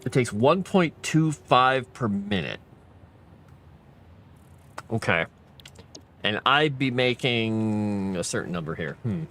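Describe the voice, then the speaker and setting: Tired male streamer, lively pitch swings, thinks aloud, faint hum behind. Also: slow, long pauses. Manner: thinking aloud, rambling, chatty with viewers. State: tired, fading late at night. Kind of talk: casual gameplay live stream commentary.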